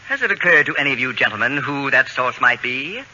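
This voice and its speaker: Pompous, swooping radio-comedy know-it-all. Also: male voice, mid-range voice, expressive, brisk, pushed and bright. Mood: lofty accusing. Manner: pompous, precise, formal diction. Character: pompous, unflappable, self-important male live-in babysitter and author. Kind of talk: old-time radio comedy acting. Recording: dull, noisy old radio broadcast.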